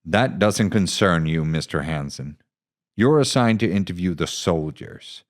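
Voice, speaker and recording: A man's voice: low-pitched, animated, unhurried. A man voicing a stern, hostile army captain in an audio story, barking with contempt for the press. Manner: barking, scornful.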